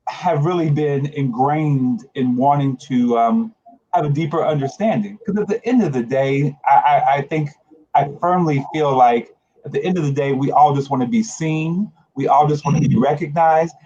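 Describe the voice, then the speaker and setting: A man's voice: on the high side, warm tone, husky, dull audio. A man in his late forties speaking earnestly and conversationally as a panel guest, reflective and emphatic, repeating phrases for emphasis. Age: late 40s. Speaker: guest, HIV organization leader.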